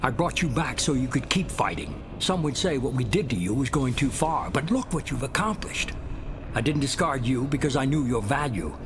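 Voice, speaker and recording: A man playing a calm, ruthless mastermind. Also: mid-range voice, noisy background, lightly acted. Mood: persuasive. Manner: calm, measured, persuasive, commanding. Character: ruthless human mastermind, middle-aged man, cool and manipulative. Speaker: shadowy organization leader.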